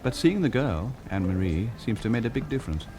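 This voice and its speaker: An actor playing a measured, protective hospital doctor in a radio drama, speaking in a considered, slightly hesitant way. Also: male voice, lowish voice, very animated. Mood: concerned.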